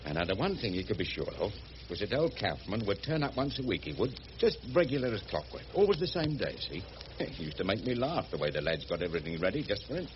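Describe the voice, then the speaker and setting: Lively, rough boatman, muffled recording. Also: fairly low pitch, quick pace. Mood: confiding, explaining. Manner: colloquial, rambling, folksy working-class speech. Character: rough, good-hearted working-class boatman, chatty and affectionate. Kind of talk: radio drama acting. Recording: muffled radio drama recording with background noise.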